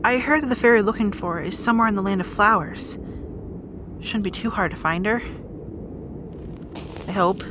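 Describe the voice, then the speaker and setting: A woman's voice: medium pitch, moderate pace, long pauses, noisy background, close to an everyday voice. A woman playing a bossy, guarded young rat princess in an audio roleplay drama, with exasperated, wry asides. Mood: bargaining, wry.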